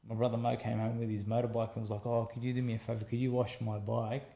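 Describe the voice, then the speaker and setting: Gravelly, mellow-voiced Australian man telling a story. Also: medium pitch, fast talker, reverberant. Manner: animated storyteller acting out quotes, repeats phrases, says man a lot. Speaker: guest, former boxer. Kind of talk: conversational storytelling.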